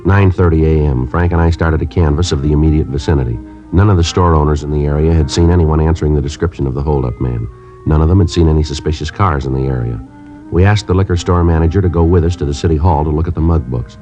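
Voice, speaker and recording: An actor playing a terse, methodical police sergeant in a 1950s radio crime drama, with clipped, flat questioning and narration. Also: male voice, very deep voice, quick pace, soft background music. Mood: narrating. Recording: dull old radio transcription.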